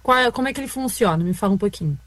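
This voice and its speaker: A woman's voice: mid-range voice, lively intonation, very fast, rough recording. A woman, hosting a radio programme and introducing guests and projects. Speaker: radio show host.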